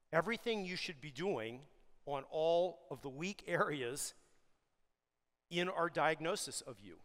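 A middle-aged man delivering an energetic, emphatic conference keynote on a large stage, pressing hard on key words as his pace rises and falls. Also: on the high side. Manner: energetic, emphatic, speeds up and slows down for stress. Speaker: keynote speaker for an industry association. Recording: long hall reverb of a big venue.

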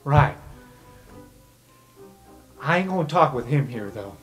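A man's voice: mid-range voice, lively intonation, slow, fairly bright tone. Man playing a chatty streetwise crook over music. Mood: wary, blunt. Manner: informal, blunt, rambling. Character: streetwise, chatty male crook, loyal to his friends. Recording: music bed under the voice.

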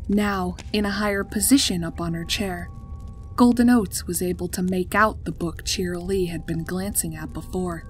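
A woman's voice: fairly low pitch, steady tone, normal speed. A woman reading a scripted story as its narrator. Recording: music behind the voice. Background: background music.

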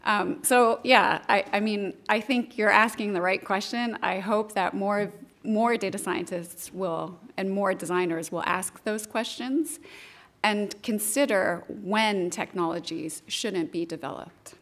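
A woman speaking as a panelist in an academic discussion, thoughtful and measured, from a lecture room. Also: mid-range voice, fairly bright tone.

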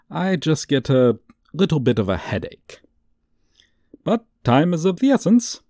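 A man playing a gloating, suave supervillain in a comedic ASMR roleplay, with a theatrical, teasing, drawn-out delivery. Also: medium pitch, dark tone, dry recording, exaggerated voice. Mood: mock-sweet, gloating. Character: gloating, suave, theatrical male supervillain toying with a young hero.

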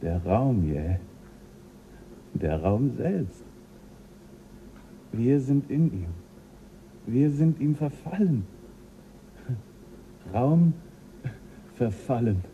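An actor playing an astronaut crew member drifting into madness, talking dreamily and laughing to himself in a radio drama. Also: male voice, mid-range voice, sing-song, drawn-out words, long pauses, warm tone, slight background noise, full character voice. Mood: dreamy. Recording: dull lo-fi radio play recording.

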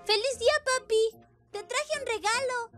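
A woman voicing a sweet, excitable little demon girl in an animated comedy dub, bubbly, childlike and pleading. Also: very high-pitched, normal speed. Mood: excited. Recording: playful music behind the dialogue.

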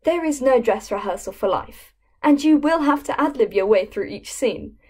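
Young woman, high lively voice, earnest emphatic speech reading. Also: moderate pace. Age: young adult. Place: plain indoor room.

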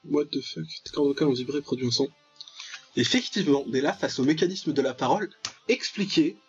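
High-pitched man doing playful game commentary. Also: fairly quick. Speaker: let's play host. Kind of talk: playful game commentary, reading visual novel text aloud. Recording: dull lo-fi mic with music behind. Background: game music.

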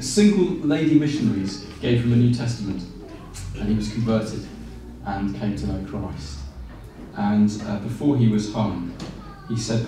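A man in his forties preaching a sermon with animated gestures and frequent fillers and restarts. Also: medium pitch, a little hiss or hum. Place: small room with blinds, indoors. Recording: very echoey room, from a distance.

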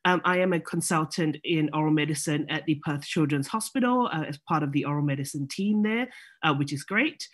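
A woman's voice: sits low, a little fast, husky. A woman in her thirties with a Singaporean-Australian accent gives a friendly online slide talk. She explains her material steadily, with plenty of ums, and the sound has the feel of a video call. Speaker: oral medicine specialist guest speaker.

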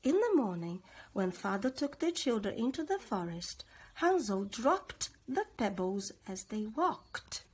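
Slow, animated woman reading a children's story. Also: a little high, husky. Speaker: teacher reading a storybook aloud. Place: living room, on a sofa.

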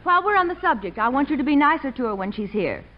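A woman's voice: high voice, big pitch swings, quick pace. A woman playing an exasperated, scolding mother in a 1940s radio comedy sketch. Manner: instructive, chiding. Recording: muffled, hissy 1940s radio recording.

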